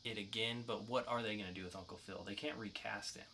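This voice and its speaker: A man's voice: fairly low pitch, fairly even intonation, fast talker, bright, forceful tone, a little hiss or hum. A man co-hosting a podcast, talking informally in a casual review chat. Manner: informal, conversational. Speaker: podcast co-host reviewing comics.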